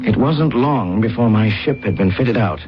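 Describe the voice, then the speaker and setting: An actor playing a proud, embittered space captain in an old radio drama, speaking and narrating in a formal, dramatic, brooding way. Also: male voice, lowish voice, expressive, normal speed, very noisy, close to an everyday voice. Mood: brooding, wary. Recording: dull lo-fi radio broadcast, loud music.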